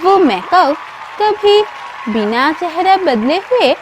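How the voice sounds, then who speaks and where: A woman's voice: very high voice, big pitch swings, slow, music in the background, crowd noise, low-quality recording. A woman narrating a scripted children's story as a storyteller. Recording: loud birdsong and ambience behind.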